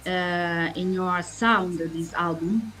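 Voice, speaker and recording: Slow, halting woman interviewer. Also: lowish voice, a bit bright, soft background music. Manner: halting, searching for words in non-fluent speech. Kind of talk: interview questions.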